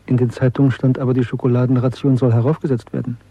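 A bitter middle-aged secret rebel in a radio play, intense n deliberate, bit husky, dull dry sound. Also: male voice, mid-range voice, little pitch movement, warm tone, no echo. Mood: bitter explaining. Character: disillusioned, bitter man of nearly forty, secretly rebellious clerk. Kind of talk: German radio drama acting. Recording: dry, muffled old radio production.

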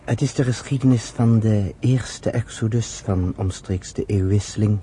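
An actor in a radio play voicing an earnest, patient storyteller, with measured, rhetorical phrasing. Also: male voice, lowish voice, warm tone, close to an everyday voice. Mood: solemn, impassioned. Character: earnest, patient male storyteller explaining his people's history. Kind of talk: radio drama acting. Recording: slightly noisy old radio recording.

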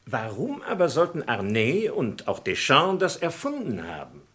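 An actor playing a persistent, polite French investigator in a German radio crime drama, reasoning in a formal, probing way. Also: male voice, mid-range voice, wildly swooping pitch, normal speed, a bit bright. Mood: probing, courteous.

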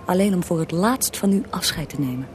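A woman's voice: on the low side, animated, brisk, over loud music, close to an everyday voice. A woman playing a knowing, composed interviewer in a scripted radio drama, speaking matter-of-factly. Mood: factual. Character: female interviewer questioning a dead historical man, knowing, composed. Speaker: interviewer and narrator.

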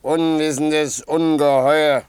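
Highish, cheeky drunken clown. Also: male voice, little pitch movement, slow, darkish tone, smooth, no room sound. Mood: mocking. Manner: mocking, contradicting. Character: cheeky, quarrelsome drunken clown who taunts a monster. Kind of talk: 1960s German radio play acting.

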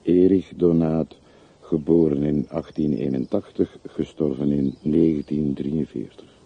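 An actor in a radio drama playing a poor, sober, deferential man with a measured, matter-of-fact way of speaking. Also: a bass voice, steady tone, gravelly, slightly rough, full character voice. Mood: solemn, measured. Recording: muffled, narrow-band old radio play recording.

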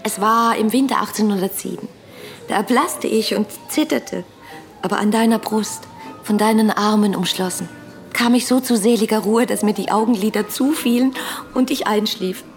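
An actress playing an ardent, yearning romantic woman in a literary radio drama, with fervent, lyrical delivery that can turn hushed. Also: mid-range voice, moderate pace, faint music behind, close to an everyday voice. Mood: tender, adoring.

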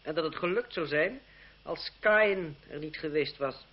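An actor playing a cynical, witty young French lawyer in a radio drama, with an ironic, condescending, urbane manner. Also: male voice, a little high, a little slow, husky. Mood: dry irony. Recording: muffled, hissy old radio drama recording.

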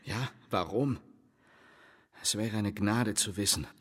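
An actor playing a tormented, fatalistic husband in a radio drama, husky-voiced, speaking in solemn, theatrical, brooding pronouncements. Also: male voice, fairly low pitch, slow pace, a bit bright, exaggerated voice. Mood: bleak, brooding. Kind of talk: stage-like German radio play acting. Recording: long hollow reverb.

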